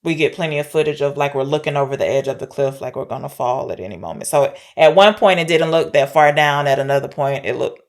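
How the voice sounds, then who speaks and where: A woman's voice: rumbling low, fast, few pauses. A woman co-hosting a podcast, retelling a story informally and checking her wording with her partner. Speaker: podcast co-host discussing films. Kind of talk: casual conversational plot recap.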